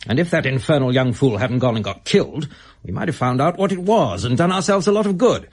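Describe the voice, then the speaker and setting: A hard-nosed drug dealer in a radio drama. He is wary and impatient, bargaining bluntly in a husky voice with animated rises and falls. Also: medium pitch, brisk.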